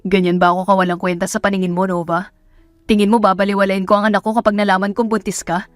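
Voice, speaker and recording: A woman's voice: medium pitch, level tone, fast, no echo, faint music behind, natural voice. A woman voicing an earnest, guarded young man, a lover and father in a romance audio drama. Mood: angry, hurt.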